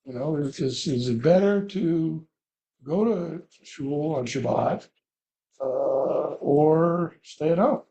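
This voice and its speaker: A man with a gravelly, mellow voice pitched slightly high talks earnestly in a class discussion. He thinks aloud, restarting his sentences as he goes. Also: takes it slowly, poor audio. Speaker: class participant.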